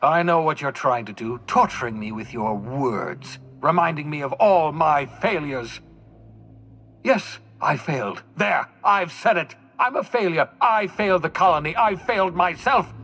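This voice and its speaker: An actor playing a despairing, defiant older fugitive scientist in a video game, theatrical and swinging from bitter to pleading. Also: male voice, fairly high pitch, lively intonation, unhurried, a bit bright, faint music behind. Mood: anguished, angry. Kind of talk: dramatic video game acting.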